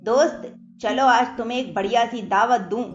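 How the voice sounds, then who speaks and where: A woman's voice: a little high, normal speed, lightly acted. A woman voicing a small, impulsive male animal who talks eagerly and pleads with his friend in a children's story. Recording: echoey dull recording under loud music.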